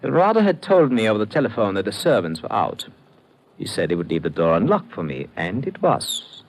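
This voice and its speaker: An actor playing a smug, unruffled gentleman perfume dealer with urbane, ornate speech in an old radio drama. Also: lowish voice, very animated, brisk. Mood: coy, unruffled. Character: suave, slippery gentleman perfume dealer, smugly unruffled suspect. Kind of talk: 1940s-50s radio drama acting. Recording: noisy old radio broadcast recording.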